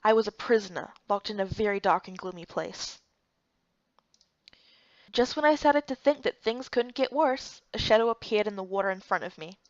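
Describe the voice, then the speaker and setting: A woman's voice: medium pitch, expressive, moderate pace, no echo, dull audio. A woman reading scripted first-person story narration for a game playthrough.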